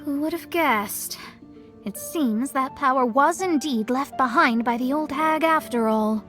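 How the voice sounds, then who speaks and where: A woman's voice: sits high, unhurried, fairly bright tone, faint music behind. A woman voicing a self-assured, theatrical mystic in a video game, formal and declamatory. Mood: intrigued. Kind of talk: English video game voice acting.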